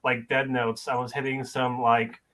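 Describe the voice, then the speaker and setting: A man with a medium-pitched voice talks as an audition caller. He is candid and polite, explaining himself in casual, conversational speech. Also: flat delivery, moderate pace, bright, forceful tone.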